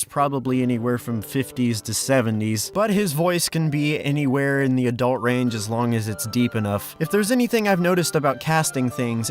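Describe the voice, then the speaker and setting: A man presents a casting call in a casual, informal way. His voice sits a little high, he slips in self-deprecating asides, and soft music plays behind him. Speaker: fan dub project director and host. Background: background music.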